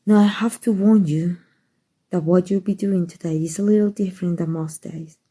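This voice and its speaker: A woman voicing a kind, doting, aristocratic female vampire in a soft, gentle ASMR roleplay. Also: fairly low pitch, fairly even intonation, moderate pace. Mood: doting, courteous. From Mexico. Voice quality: breathy, soft. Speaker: voice actor.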